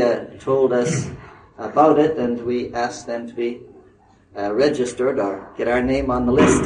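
An older man, a missionary addressing a conference audience in a halting way, full of fillers and restarts. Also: mid-range voice, noisy background. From Northern Ireland. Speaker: missionary speaker. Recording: roomy hall recording from a distance.

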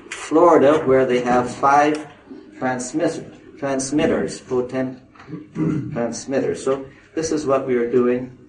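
An older missionary speaks haltingly to a conference audience, stopping often on fillers and restarting his phrases. He sounds set back from the mic, with the roomy ring of a hall and a faint hiss behind him. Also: mid-range voice, a little mellow. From Northern Ireland. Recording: roomy hall recording from a distance.

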